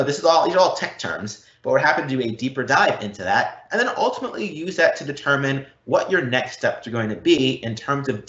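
A man giving an explanatory live online presentation as a technology consultant, often checking in with a quick "right?" or "okay?". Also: medium pitch, fairly bright tone. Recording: roomy, slightly dull online event audio.

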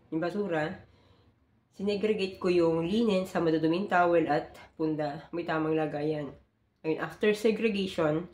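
A woman in her forties with a low voice talks earnestly to her phone camera, explaining her housekeeping work step by step. She drops English phrases into her talk. The small bedroom gives the sound a noticeable echo. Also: a little mellow. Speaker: hotel housekeeper vlogger. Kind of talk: casual vlog talk to camera. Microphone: phone camera at close range.

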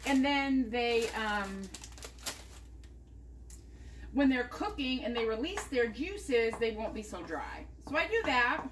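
Chatty home-cook vlogger, woman with a high voice, walking viewers step by step through a recipe in her kitchen, faint hiss behind her. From United States. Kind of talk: casual cooking demonstration. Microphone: camera mounted above the counter.

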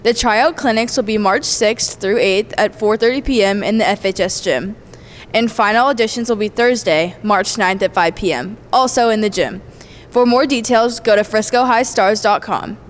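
A woman's voice: medium pitch, moderate pace, slight background noise. A teenage girl student reporter reading scripted announcement details. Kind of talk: news report outro.

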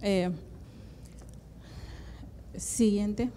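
Mellow-voiced woman presenting. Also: medium pitch, extremely slow, slight background noise. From Atlantic coast of Nicaragua. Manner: hesitant, many "em" and "eh" fillers, steady explanatory pace. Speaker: university program coordinator, conference panelist. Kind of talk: conference presentation. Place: conference room with flags and projection screen. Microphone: handheld microphone.

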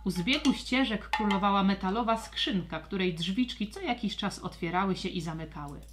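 A woman narrating a scripted children's audio story with expressive, storybook delivery. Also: mid-range voice, normal speed, very noisy.

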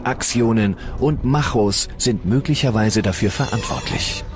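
A man narrating a science documentary in a measured, solemn way over music. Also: medium pitch. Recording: voice-over with loud music behind.

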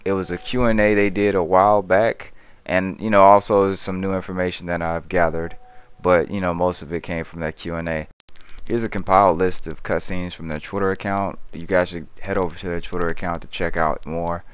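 Male gaming channel host, low and smooth, informal, plain-spoken commentary. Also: level tone, a little fast. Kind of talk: casual gaming news commentary.

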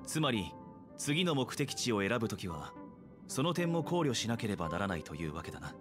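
Low, reserved young anime man. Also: very animated, fairly quick, music in the background. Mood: matter-of-fact. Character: reserved, serious young male companion. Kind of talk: anime game voice acting.

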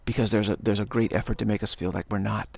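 An older man, a spiritual teacher, giving a rambling monologue, halting and repeating words, with frequent chuckles, recorded on an action camera. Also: lowish voice, fairly even intonation, vocal fry, a little mellow, breathy edge, no room sound.

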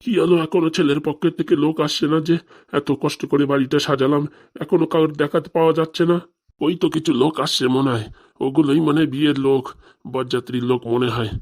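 A man's voice: on the high side, fairly even intonation, fast, darkish tone. A man voicing a fretful village elder brother in a comic cartoon, fussing and grumbling as he hurries everyone along. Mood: anxious fussing. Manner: fussy, grumbling, hurrying.

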